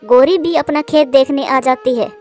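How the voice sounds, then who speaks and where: A woman's voice: high-pitched, normal speed, a little mellow, faint music behind. A woman reading scripted narration for a children's story.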